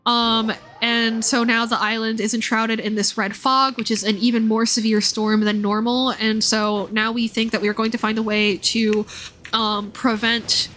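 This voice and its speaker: A woman livestreaming a video game, talking casually and explaining things in a thoughtful, halting way. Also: on the high side, level tone, a bit bright, a little hiss or hum. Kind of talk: casual game stream commentary and read-aloud. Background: music.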